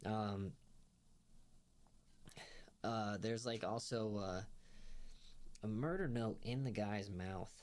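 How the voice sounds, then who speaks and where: A man's voice: fairly low pitch, takes it slowly, long pauses, darkish tone. A young man giving a casual spoken review of a comedy series, rambling with lots of fillers and laughing as he talks. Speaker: show reviewer.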